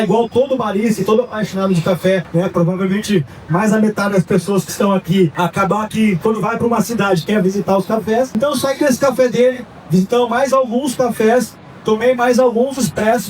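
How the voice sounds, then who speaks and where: Guy in his 30s rattling off a story to an audience, highish voice, kinda far off the mic. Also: slight background noise. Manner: quick, chatty, full of fillers and asides. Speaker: coffee business founder giving a talk. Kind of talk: storytelling talk to a live audience.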